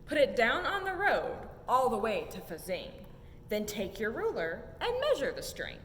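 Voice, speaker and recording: A young woman reads a children's picture book in a sing-song, highly animated voice that sits on the high side. Her delivery follows the rhymes with emphatic rises and falls. She is recorded in an echoey, reverberant room. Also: normal speed. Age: young adult. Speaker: story time reader at a museum. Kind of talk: expressive children's picture-book read-aloud. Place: indoor museum exhibit room. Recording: echoey room with low rumbling noise.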